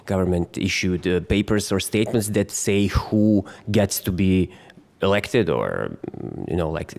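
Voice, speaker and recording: Croatian-accented male physician, conference lecturer, lowish voice, hesitant delivery with many fillers. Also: darkish tone. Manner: hesitant, many fillers and restarts, jokes with the audience. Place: conference hall.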